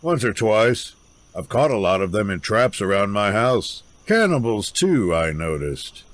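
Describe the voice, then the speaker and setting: An actor playing a gruff, self-reliant woodsman with a folksy, sardonic, grudging way of talking in a fantasy audio drama. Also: on the low side, big pitch swings, unhurried. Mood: matter-of-fact.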